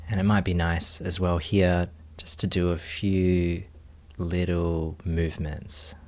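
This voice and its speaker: Male meditation guide, deep register, very slow delivery, gentle and spacious phrasing. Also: level tone. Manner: gentle, unhurried, pausing between short phrases. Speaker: meditation teacher.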